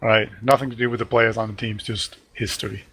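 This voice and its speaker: A man's voice: on the low side, little pitch movement, fairly bright tone, slightly rough. A man casting a live online game match, talking conversationally, laughing easily and tossing questions to his partner. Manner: conversational, quick to laugh, asks co-caster questions. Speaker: esports caster. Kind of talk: casual live game commentary.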